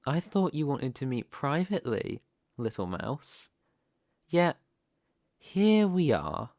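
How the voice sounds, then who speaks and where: A man acts out a possessive mafia boss in an intimate audio roleplay. He speaks slowly and deliberately, taunting with silky menace and smug control. The sound is close and very dry. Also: fairly high pitch, very animated, a little mellow, no room sound, full character voice. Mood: mocking, amused. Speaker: ASMR roleplay voice performer. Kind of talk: dramatic ASMR roleplay monologue.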